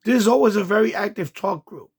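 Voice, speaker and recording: High-pitched, raspy man commenting casually. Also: quick pace, darkish tone. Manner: rambling, repeats himself, explanatory. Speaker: amateur radio video host. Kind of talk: casual running commentary over radio chatter.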